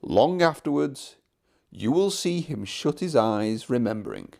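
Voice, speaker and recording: A man narrating a children's storybook from a script in an expressive storytelling manner. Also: mid-range voice, unhurried, creaky.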